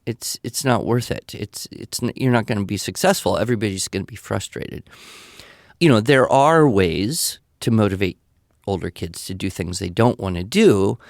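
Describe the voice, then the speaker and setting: Male podcast guest, mid-pitched, explanatory and emphatic, conversational advice delivery, very dry recording. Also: vocal fry, no echo. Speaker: podcast guest answering listener questions.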